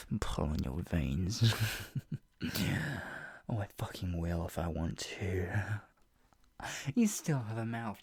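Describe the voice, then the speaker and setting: A woman playing a menacing, obsessive yandere captor in an ASMR roleplay, husky and taunting, swinging between mock pity and threat, often dropping into a breathy whisper. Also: extremely low pitch, full character voice. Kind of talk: ASMR roleplay, improvised monologue.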